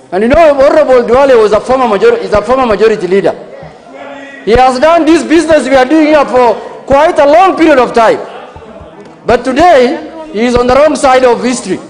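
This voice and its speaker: A middle-aged man, a member of parliament at a desk microphone, arguing defiantly and rising to shouts. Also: very high-pitched. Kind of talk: combative floor debate. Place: large parliament chamber. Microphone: desk microphone, standing. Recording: long hall reverb, faint crowd murmur.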